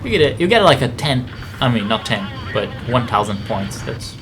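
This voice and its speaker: A man with a mid-pitched voice commentates casually on a video game he is playing. He rambles and explains things as he goes, while clear game music plays behind him. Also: sing-song, moderate pace. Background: game music and aircraft sounds.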